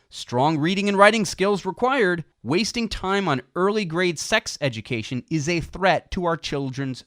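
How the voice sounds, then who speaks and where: A man reading scripted political nonfiction as an audiobook narrator, with emphatic, deliberate phrasing. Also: a little high, a bit bright, no room sound, studio quality.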